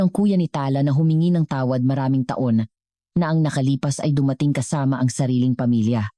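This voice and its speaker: A Filipino woman reads a scripted story in a low-pitched voice. Her tone is mellow and soft-edged, with a measured, earnest delivery. The recording is dry and close. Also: no echo. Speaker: narrator of a story channel.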